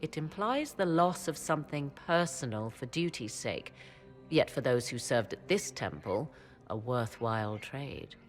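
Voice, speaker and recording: A low-voiced sardonic witch. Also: animated. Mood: aloof. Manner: measured, dry and aloof. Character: cool, sardonic female witch scholar. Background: faint music.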